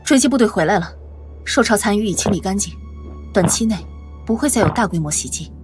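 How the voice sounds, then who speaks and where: An actress voicing a brisk, concerned young female lieutenant in a motion-comic drama, earnest and direct. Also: medium pitch, lively intonation, over music, close to an everyday voice. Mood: concerned, serious.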